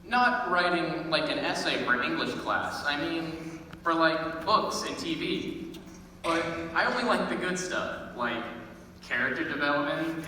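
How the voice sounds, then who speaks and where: A man's voice: on the high side, bright, forceful tone. A teenage male theater student performing a scripted monologue on stage. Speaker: high school theater student. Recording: echoey hall, distant mic, faint noise.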